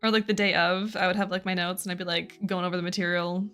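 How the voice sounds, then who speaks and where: A chatty young woman streams and talks easily with her viewers while she draws. She giggles often and jokes about herself. Quiet music plays behind her. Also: lowish voice, fairly even intonation, quick pace, smooth. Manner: chatty, giggly, self-deprecating. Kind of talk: casual chat with viewers while drawing.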